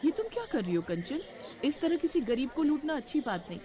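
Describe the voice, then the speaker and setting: A woman voicing a humble, principled elder daughter-in-law and patient mother in a cartoon story, gentle and earnest. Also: sits high, very animated, brisk, a crowd in the background, voice pushed up. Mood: reproachful.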